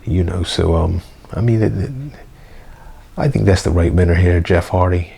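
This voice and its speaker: A young man, hoarse, rambling through a casual unedited review vlog with lots of fillers and slang. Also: deep voice, steady tone, moderate pace, dark tone. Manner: rambling, full of fillers like "you know" and "man". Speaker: wrestling review vlogger. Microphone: basic mic, plans a new one.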